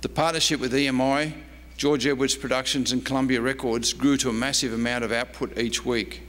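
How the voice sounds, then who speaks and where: A man's voice: medium pitch, level tone, fairly quick, a bit bright. A man, a historian giving a prepared lecture read from notes at a convention. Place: convention presentation room. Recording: noisy, reverberant hall with hum.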